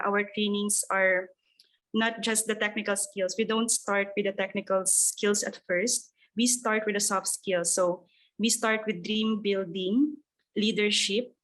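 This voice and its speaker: A young Filipino woman, Tagalog her first language, talks earnestly over a video call. Her pitch sits in the middle, and she drops Tagalog words into her English as she explains how her programs work. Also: fairly bright tone, rough recording. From Philippines. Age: young adult. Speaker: speaker from an agriculture organization. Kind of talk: webinar presentation answer.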